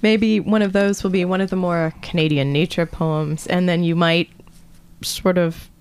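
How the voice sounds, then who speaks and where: A woman's voice: fairly low pitch, fairly even intonation, normal speed, darkish tone, clear, resonant tone. A woman interviewing a guest in a friendly, chatty way, often breaking into laughs. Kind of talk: casual interview conversation.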